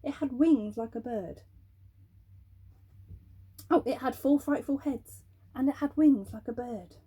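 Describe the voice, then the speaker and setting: A woman's voice: high voice, a little slow, mellow, breathy edge. A woman storyteller reading a children's bedtime story aloud expressively, speaking directly to young listeners.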